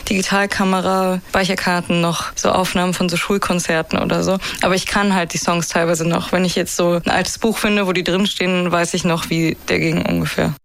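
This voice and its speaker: A woman's voice: on the low side, level tone, a little fast, gravelly, hardly any pauses. A young woman from Berlin with a Berlin accent, a musician guest chatting casually in an interview, a bit tired, laughing often and mixing in English slang. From Germany.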